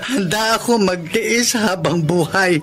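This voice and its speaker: A heartbroken devoted lover. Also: male voice, sits high, a little slow. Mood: hurt. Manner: earnest, pleading. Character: heartbroken man devoted to his partner. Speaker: letter sender to the show. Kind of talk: Tagalog radio drama acting. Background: drama music underscore.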